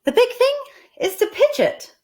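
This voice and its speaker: A woman's voice: very high-pitched, very animated, normal speed, darkish tone, voice pushed up. A woman voicing a cheerful, eager, literal-minded housekeeper from a children's storybook, exclaiming earnestly.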